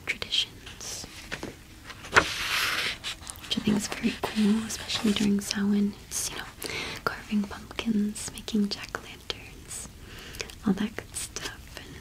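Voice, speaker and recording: A young woman whispering an ASMR roleplay, explaining gently and softly with unhurried pauses. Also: medium pitch, poor audio. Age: young adult. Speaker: ASMR host in a cottage witch roleplay.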